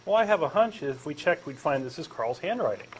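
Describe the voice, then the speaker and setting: Brief: Texan man, doubtful and halting, fairly high voice, faint hiss. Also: sing-song, poor audio. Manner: skeptical, hesitant questioner who stammers. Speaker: co-host doing a comic dramatic reading. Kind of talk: comic scripted dialogue reading.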